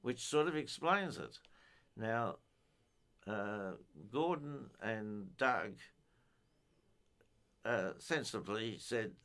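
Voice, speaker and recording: A man's voice: lowish voice, lively intonation, extremely slow, pauses often, bright, forceful tone. Older man explaining methodically. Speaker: amateur radio enthusiast giving a technical talk. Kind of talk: informal technical explanation.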